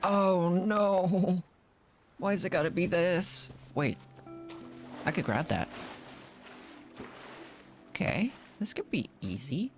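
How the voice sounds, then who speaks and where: Animated man streaming a video game. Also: high voice, takes it slowly, long pauses, faint music behind. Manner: muttering to self, thinking aloud. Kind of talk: casual gameplay commentary.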